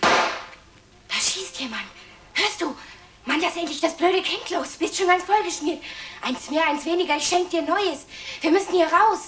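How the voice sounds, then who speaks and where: A woman's voice: extremely high pitch, expressive, a little slow, pauses often, a bit bright, heavily acted. A woman playing a fed-up, bitterly sarcastic young female burglar in a German radio play. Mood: urgent alarm. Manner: bitterly sarcastic, breaking into hissed whispers. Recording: roomy, distant, music behind.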